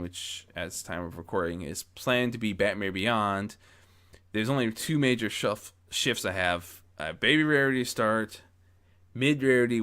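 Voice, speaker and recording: A man talking casually and reflectively to his followers as an amateur story narrator, rambling and correcting himself as he goes, close on a boom-arm mic. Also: fairly bright tone, clear, resonant tone. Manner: rambling, self-correcting, frequent false starts. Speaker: amateur audio story narrator and channel host. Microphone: close mic on boom arm with pop guard.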